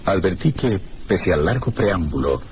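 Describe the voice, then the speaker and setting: An actor playing a reserved, melancholy male hotel guest who narrates a radio suspense drama in a measured, literary, courteous way. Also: low-pitched, very animated, a little hiss or hum. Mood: brooding.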